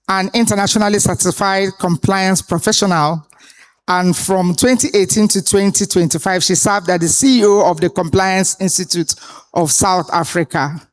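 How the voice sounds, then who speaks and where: A woman, moderating a live conference panel and introducing the speakers in a deliberate, authoritative way. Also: mid-range voice. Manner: deliberate, authoritative delivery with pauses. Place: conference hall stage.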